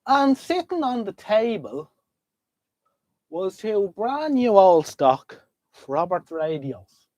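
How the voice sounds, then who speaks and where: A man's voice: high voice, sing-song, slow pace, pauses often, darkish tone, no room sound. An Irish man with a slight head cold, sniffling as he chats casually and rambles to viewers while hosting a live stream. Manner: chatty, rambling, talks to viewers. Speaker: livestream host. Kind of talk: casual livestream chat. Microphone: desk microphone.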